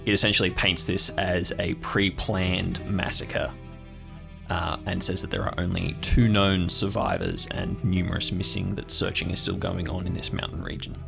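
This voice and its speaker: A man runs an improvised tabletop story in a low voice with some fry. He describes each detail in measured, halting phrases. Faint music plays behind him. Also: level tone. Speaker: game master.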